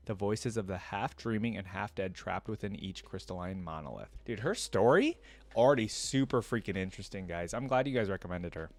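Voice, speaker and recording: A man doing informal reaction commentary, switching between casual slangy remarks and reading story text aloud. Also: on the low side. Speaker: reaction video creator.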